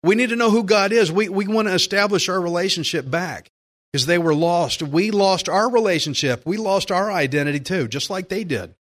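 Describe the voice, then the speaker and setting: Man preaching in a higher-pitched voice. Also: fairly bright tone. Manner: earnest, emphatic, slowing down to stress key phrases. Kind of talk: sermon with scripture readings.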